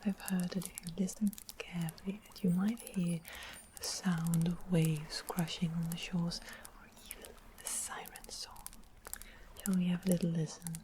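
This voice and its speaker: A sweet-faced, sly witch acted by a woman whispers softly and lowly in an ASMR fantasy roleplay. Her manner is gentle and doting with a quietly sinister edge. Clear noise and small clicks sit behind the close whisper. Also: flat delivery, normal speed, close to an everyday voice, poor audio. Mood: hushed wonder. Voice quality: soft unvoiced whisper.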